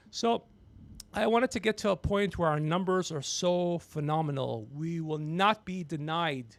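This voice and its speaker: A man with a fairly high, gravelly voice hosts a loose comedic livestream. He rambles through stories and banter, blunt and profane, often needling whoever he is talking to. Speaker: podcast livestream host.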